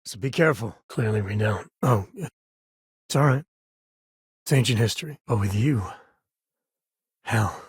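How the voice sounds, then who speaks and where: An actor playing a wry, protective space-cowboy father in a video game, with a husky voice and a casual, folksy, sardonic way of talking. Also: fairly low pitch, normal speed, long pauses, no room sound. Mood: wry, easygoing. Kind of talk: scripted game companion dialogue.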